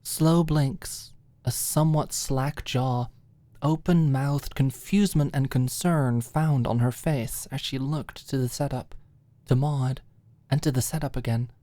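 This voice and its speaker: A woman's voice: a bass voice, fairly even intonation, measured pace, warm tone, no room sound. A woman reading a scripted story as an ASMR audiobook narrator.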